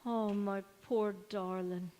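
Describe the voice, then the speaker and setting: An actress playing a tender, loyal older confidante in an old-time radio drama, with a fond, sympathetic manner. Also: female voice, medium pitch, fairly even intonation, slow pace, mellow, lightly acted. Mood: moved, tender. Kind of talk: 1940s radio drama acting on stage. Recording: echoey hall, long reverb.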